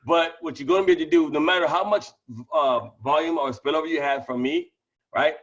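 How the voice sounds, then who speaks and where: A man with a small lisp and a stutter, talking excitedly and fast as he pitches a business opportunity to people on a group call. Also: highs cut off. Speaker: presenter of a network-marketing training call.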